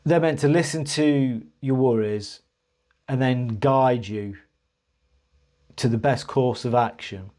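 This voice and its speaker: A man's voice: mid-range voice, takes it slowly, pauses often, warm tone. A man telling a personal story to camera in a rambling, hesitant way, with many restarts, and earnest frustration when he recounts his grievances. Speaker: vlogger.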